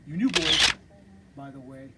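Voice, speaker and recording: A man's voice: medium pitch, unhurried, very noisy, lightly acted. A man reading a smirking, menacing male outlaw gang leader in a script table reading, with drawling, bossy threats.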